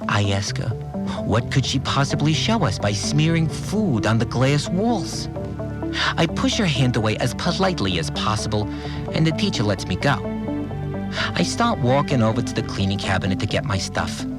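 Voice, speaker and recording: An actor playing an anxious, obsessive cleaner who fretfully recounts events in short, plain sentences in an audio drama monologue. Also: male voice, medium pitch, big pitch swings, normal speed, lightly acted. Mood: exasperated. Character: anxious, obsessive male cleaner confined in a mysterious place. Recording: music bed under the voice.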